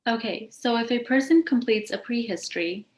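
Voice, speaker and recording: A woman's voice: a little high, moderate pace, a little hiss or hum. A woman presenting as a show host, reading prepared questions and remarks in a scripted interview style. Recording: roomy, echoing sound.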